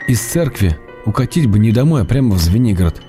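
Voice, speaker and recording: An actor playing an ailing middle-aged writer in a radio drama, reading his love letters in an intimate, measured, wry way. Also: on the low side, very animated, mellow, faint music behind. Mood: wry, affectionate.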